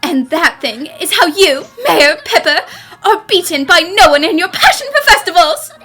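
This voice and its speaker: High, bright, animated young lady detective played by a woman. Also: moderate pace, full character voice. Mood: assured, dramatic. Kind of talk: animated mystery fan dub. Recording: music under the dialogue. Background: background music.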